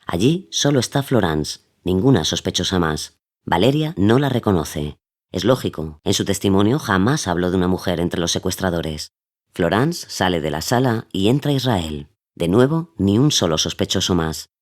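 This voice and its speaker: Low-voiced male documentary narrator. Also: animated, normal speed. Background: soft music.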